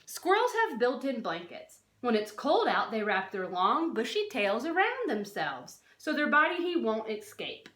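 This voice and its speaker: A woman's voice: a little high, sing-song, measured pace, hardly any pauses, fairly bright tone. A woman reading a non-fiction children's book aloud like a teacher, expressively, stressing key words and adding short explanatory asides. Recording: roomy, echoey sound.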